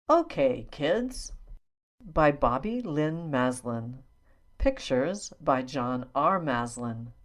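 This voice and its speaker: A woman narrating an early reader for young children, in deliberate, simple phrases. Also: deep voice. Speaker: audiobook narrator. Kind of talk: slow read-aloud of a children's early reader.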